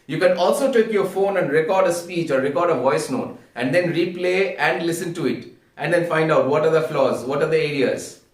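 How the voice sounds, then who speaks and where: Indian guy teaching public speaking, kinda high voice, earnest, sounds a bit far from the mic. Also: moderate pace, hardly any pauses. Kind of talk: instructional talk to viewers. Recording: echoey room, distant microphone.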